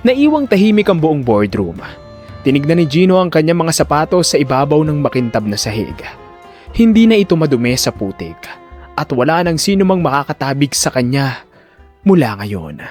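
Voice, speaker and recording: A man narrating a scripted audio drama in a deliberate, solemn storytelling manner. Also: on the high side, sing-song, a little mellow. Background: background music.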